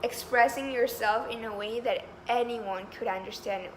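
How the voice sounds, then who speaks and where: A woman's voice: on the high side, normal speed, slight background noise, poor audio. A woman in her twenties from Colombia, answering interview questions in an eager, talkative way full of fillers. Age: 20s. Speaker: job candidate. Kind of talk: mock job interview.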